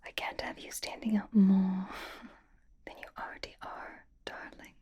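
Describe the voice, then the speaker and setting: A woman voicing an elegant, flirtatious vampire in an ASMR roleplay, coaxing intimately with fond exasperation in a breathy, halting whisper. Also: medium pitch, little pitch movement, dark tone, lo-fi sound. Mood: exasperated, reassuring. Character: elegant female vampire, flirtatious, patient, fondly exasperated gala companion.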